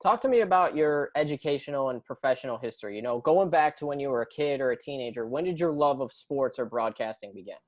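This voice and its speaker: A man's voice: mid-range voice, expressive, fast talker, hardly any pauses, no echo. A man hosting a conversational interview podcast, speaking close to the mic. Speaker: podcast host.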